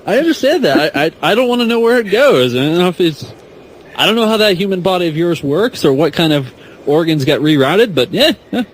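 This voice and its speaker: A man's voice: sits high, expressive, brisk, slight background noise. A man speaking as a podcast guest in a casual, comedic, rambling way. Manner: rambling, jokey.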